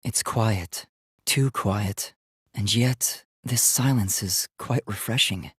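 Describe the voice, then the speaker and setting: A man's voice: lowish voice, slow, fairly bright tone, slightly rough, dry recording, studio quality, heavily acted. A man voicing an earnest, polite young male robot in a video game, speaking in formal, precise, literal-minded phrases. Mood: solemn, resolute.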